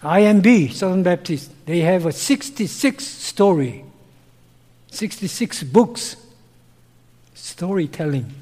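Slow-talking Korean-accented man. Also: sits high, big-room sound. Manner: broken English, slow and earnest, gets loud when animated. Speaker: missionary guest. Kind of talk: interview answers, personal stories.

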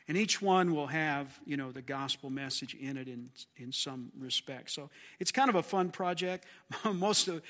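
A man with a slightly hoarse voice leading a church class, praying aloud and then chatting casually with the group. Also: fairly high pitch, a bit bright. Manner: earnest, folksy, jokes with the class. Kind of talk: spoken prayer and casual class talk.

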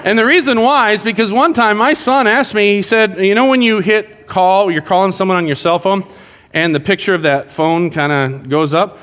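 Male pastor, conversational and jokey, slightly high voice, church reverb. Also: moderate pace, fairly bright tone. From South America. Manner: jokey, conversational, addresses congregation directly. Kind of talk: sermon. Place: church sanctuary.

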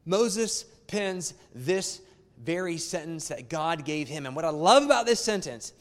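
A man's voice: a little high, expressive, unhurried, in a large hall. A man preaching a sermon to his congregation, emphatic and conversational, repeating key phrases and turning to the crowd with asides. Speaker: pastor. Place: church sanctuary.

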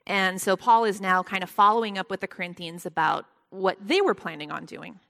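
A soft-spoken woman leading a Bible study lesson, with a prayer and a scripture reading, speaking gently and with frequent ums. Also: mid-range voice, a little fast, creaky. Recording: long hall reverb.

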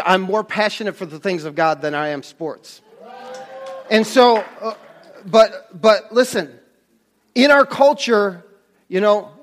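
Middle-aged male pastor, higher-pitched voice, earnest off-the-cuff preaching, stop-start delivery. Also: a bit bright. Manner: earnest, halting, often restarts and trails off. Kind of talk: conversational sermon. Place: church stage under bright lights.

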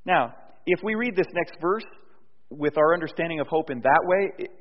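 A man preaching a sermon in a conversational way, dropping soft and low and then rising loud and emphatic. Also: lively intonation, normal speed. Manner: conversational, with restarts and fillers. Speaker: pastor. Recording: dull sound with long hall reverb.

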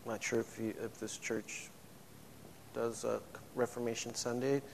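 A man with a low voice preaching a sermon in a church, speaking haltingly with frequent restarts. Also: flat delivery, gravelly, a little hiss or hum. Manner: halting, many restarts and fillers. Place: church sanctuary. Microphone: microphone. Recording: long church-hall reverb.

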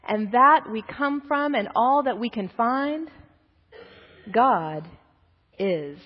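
A woman voicing a wise, gentle, ancient female turtle in a storybook, solemn and measured. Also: a little high, expressive, husky, heavily acted. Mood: solemn, tender. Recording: muffled, echoey large hall.

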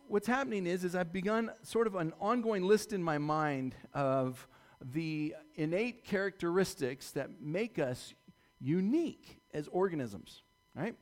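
A man's voice: high voice, animated, unhurried. A man preaching a conversational sermon in a slightly scratchy voice, informal and discursive, often checking in with his listeners. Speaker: church preacher.

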